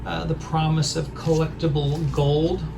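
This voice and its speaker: A hoarse man with a raspy voice talks in a halting, hesitant way while showing off records he has collected. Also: a little high, little pitch movement, noisy background. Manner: halting, hesitant, full of pauses. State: hoarse from a throat injury. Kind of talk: casual record haul show-and-tell.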